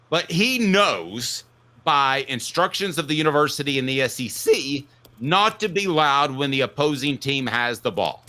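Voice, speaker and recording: A man delivers opinionated sports commentary as a talk show host. His voice is bright and forceful, with very lively intonation. He makes his points in punchy, emphatic declarations, stretching stressed words for weight. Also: fairly high pitch.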